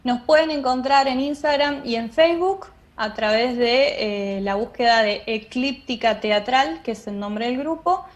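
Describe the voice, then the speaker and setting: A woman explaining her work in an interview, phrasing it a little hesitantly as she goes. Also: a little high. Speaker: interviewed radio drama director.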